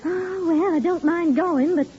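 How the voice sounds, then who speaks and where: A woman playing a sensible, gently skeptical wife in a 1940s radio sitcom, with a practical manner. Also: very high-pitched, measured pace. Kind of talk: 1940s radio comedy acting. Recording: muffled old radio recording, faint noise.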